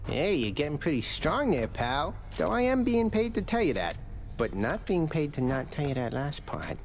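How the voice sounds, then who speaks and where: A man's voice: fairly high pitch, very animated, moderate pace, soft background music. A man voicing a cocky, wisecracking undead sparring dummy in a video game, brash and chummy. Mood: cheeky.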